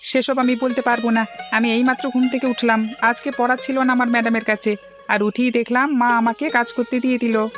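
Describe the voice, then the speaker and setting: A woman voicing a high-pitched village schoolboy. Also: little pitch movement, a little fast, fairly bright tone, faint music behind, natural voice. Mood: grumbling. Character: young village schoolboy, helpful, plainspoken, grumbling. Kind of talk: cartoon dialogue acting.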